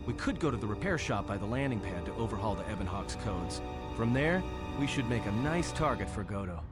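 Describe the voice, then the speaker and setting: Guy as cocky impatient smuggler type, sarcastic, animated, loud game music under it. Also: mid-range voice, natural voice. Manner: brash, sarcastic, casual. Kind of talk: video game voice acting.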